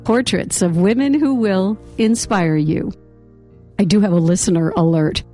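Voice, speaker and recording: Hoarse woman hosting a podcast. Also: mid-range voice, unhurried, mellow, faint music behind. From Boston area. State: hoarse from laryngitis. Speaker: podcast host. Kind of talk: interview podcast.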